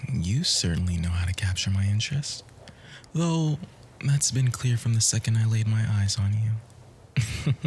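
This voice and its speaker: A man playing a charming, composed bookish romantic in a flirtatious ASMR roleplay, speaking softly and breathily in courtly, flowery phrases that often drop to a whisper. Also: medium pitch, slight background noise, lightly acted. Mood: playful, intrigued.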